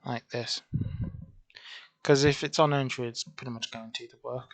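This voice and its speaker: A man's voice: mid-range voice, steady tone, normal speed. A man casually walking through a program on screen, chatty and rambling, his voice just back after losing it. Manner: chatty, rambling, self-correcting. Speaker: software tutorial creator. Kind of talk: casual screen-recorded walkthrough.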